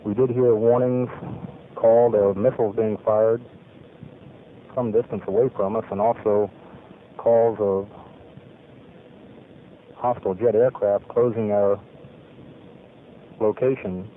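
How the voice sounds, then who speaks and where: A man, a navy pilot, giving a formal spoken debrief of a mission in measured, clipped military phrasing. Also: lowish voice, steady tone, slight background noise. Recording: tinny lo-fi archival radio recording.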